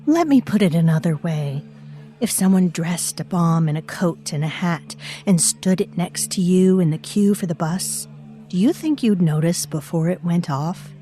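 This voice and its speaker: A low, mellow voice of an ominous unseen presence, voiced by a woman, narrating an audio drama. She muses darkly and addresses the listener directly, with faint music underneath. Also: lively intonation, moderate pace, lightly acted. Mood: brooding. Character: mysterious unseen presence dwelling in a girl's mind.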